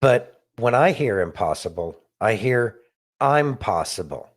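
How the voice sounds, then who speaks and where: A man's voice: lowish voice, big pitch swings, unhurried. A man with an American accent giving emphatic conversational commentary as a podcast co-host, in short declarative phrases.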